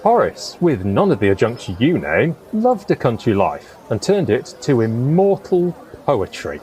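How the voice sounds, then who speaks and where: A wistful, poetic gentleman in a period radio play. His middle-pitched voice rises and falls expressively. He speaks in a dreamy, literary manner with a melancholy streak. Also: huge pitch swings, measured pace. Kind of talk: period audio drama acting.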